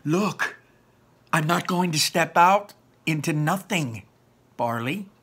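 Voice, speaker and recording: A man's voice: animated, slow pace, a bit bright, breathy edge, full character voice. A man reading a story aloud, voicing an anxious teenage boy who whines and panics. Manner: whiny, panicky, high-strung.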